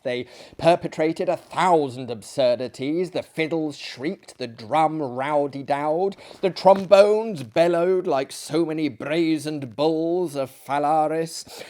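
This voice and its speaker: A man narrating classic fiction as a dramatic reader, in a hammy, deliberate, florid manner. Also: fairly high pitch, a little mellow.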